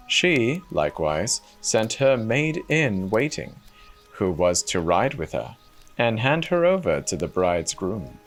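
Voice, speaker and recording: A man telling a fairy tale as a dramatic scripted narration, measured and formal. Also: lowish voice. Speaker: storyteller. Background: soft music.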